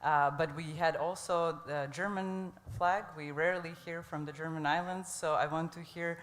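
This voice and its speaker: A man's voice: fairly high pitch, steady tone, fairly bright tone, big-room sound. A man moderating a conference, passing the floor to speakers and moving the program along informally. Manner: brisk, informal, uses fillers. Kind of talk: moderating a forum. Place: conference hall on an island.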